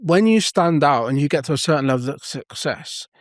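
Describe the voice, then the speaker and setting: A man's voice: fairly high pitch, lively intonation, brisk, no room sound, clean studio sound. A man co-hosting a casual podcast, explaining earnestly with examples and many restarts. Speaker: podcast co-host.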